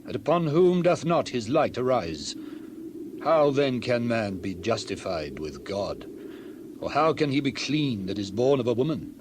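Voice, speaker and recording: A man portrays a pious, stern elder of the ancient world in a Bible drama. The voice sits at an average male pitch and declaims in rhetorical phrases. Clear ambient noise sits behind the speech. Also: very animated, measured pace. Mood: stern, reverent. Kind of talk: dramatized scripture reading.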